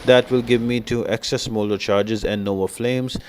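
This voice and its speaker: A man's voice: lowish voice, steady tone, moderate pace, slight background noise. A man giving a casual game walkthrough, explaining tactics step by step to viewers. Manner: explanatory, addresses viewers as guys. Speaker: game guide host. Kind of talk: casual gameplay walkthrough commentary.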